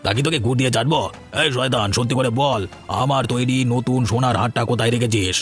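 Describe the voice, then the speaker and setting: A man as a blustering, rapid-fire cartoon boss. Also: medium pitch, fairly even intonation, lightly acted. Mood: furious, accusing. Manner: scolding, insulting, blustering. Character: angry, accusing, bullying male goldsmith shop boss. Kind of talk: animated cartoon dialogue. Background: music.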